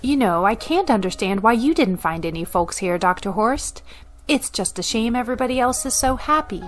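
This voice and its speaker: A woman's voice: on the high side, lively intonation, normal speed, faint music behind, natural voice. An actress playing a doting, folksy older mother who fusses over her guests in a radio drama. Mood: sociable.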